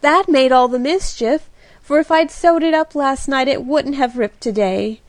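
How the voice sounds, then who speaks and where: A woman voicing a flustered, dramatic young woman who cries out in high, breathless exclamations. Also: slow pace, dry recording. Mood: tragic.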